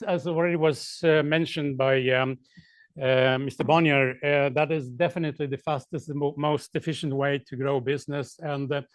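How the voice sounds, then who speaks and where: A man, a lawyer giving a seminar talk, halting with many fillers and dry asides. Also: medium pitch, dry recording.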